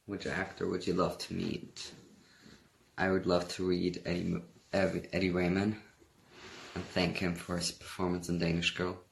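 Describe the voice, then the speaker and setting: A man's voice: low-pitched, completely flat delivery, moderate pace, gravelly. A young man with a German accent, chatting casually on a phone livestream and reading out comments in a laid-back, rambling way. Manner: laid-back, rambling, reads comments aloud, laughs often. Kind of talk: casual chat.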